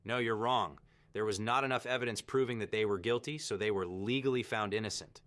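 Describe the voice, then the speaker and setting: A man's voice: on the low side, fairly quick, fairly bright tone, no echo, studio quality, lightly acted. A man playing a self-assured, insistent lawyer in an audio horror drama. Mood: cocky, defensive. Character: cocky, indignant male defense lawyer arguing his case.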